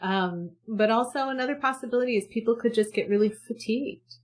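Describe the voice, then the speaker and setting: A woman's voice: a little high, fairly quick. A woman guest in an interview, talking earnestly and hopefully about communication in a chatty way with many restarts. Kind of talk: conversational interview.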